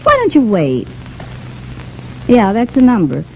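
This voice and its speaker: An actress playing a quick-thinking, bossy, protective showgirl friend in a vintage radio soap, talking briskly and chattily. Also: fairly high pitch, wildly swooping pitch, dark tone, heavily acted. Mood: breezy, bluffing. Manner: brisk, chatty, takes charge. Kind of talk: 1930s-40s radio soap opera acting. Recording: muffled, hissy vintage radio recording.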